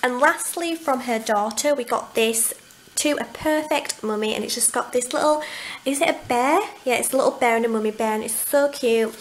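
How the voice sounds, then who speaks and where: Gushing young woman, bright pitch. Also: moderate pace, slight background noise, rough recording. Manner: gushing, enthusiastic about cute items. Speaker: vlogger. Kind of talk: casual haul video. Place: home, indoors at a table.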